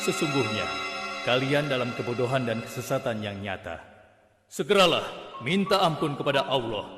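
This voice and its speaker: A man's voice: mid-range voice, lively intonation, normal speed, music in the background, close to an everyday voice. A man acting a stern, proud and devout prophet-king in a cartoon, speaking in a solemn, declamatory way. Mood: solemn warning. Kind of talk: formal dramatic cartoon acting.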